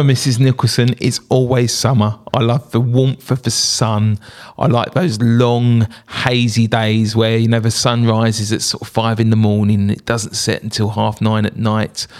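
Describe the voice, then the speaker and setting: A man's voice: mid-range voice, flat delivery, a little slow. A man hosting a school podcast, giving chatty, upbeat announcements to parents with jokey asides.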